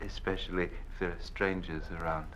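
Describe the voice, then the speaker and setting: A man's voice: deep voice, level tone, a little fast, a bit bright. A man playing a nervous official in a 1950s radio drama, hesitant and full of uhs, on a dull old recording.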